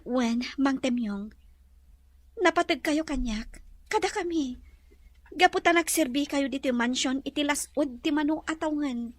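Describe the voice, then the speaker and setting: Woman as kind filipino mansion lady in audio drama, high gentle voice, dry close sound. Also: a little slow, dry recording. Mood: kind, insistent.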